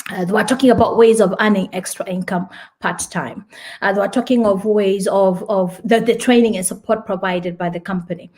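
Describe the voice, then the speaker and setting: A woman's voice: mid-range voice, fairly even intonation, brisk, a little mellow. A woman in her forties from Kenya, sharing her story with enthusiasm and some hesitant restarts. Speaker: lawyer and business presenter. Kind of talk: personal story and company presentation.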